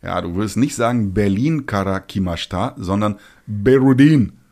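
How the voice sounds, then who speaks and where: A man's voice: fairly low pitch, moderate pace, a little mellow. A middle-aged man hosting a casual podcast, cracking ironic jokes and explaining things like a teacher. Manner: joking, ironic asides. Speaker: podcast host. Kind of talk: casual chat.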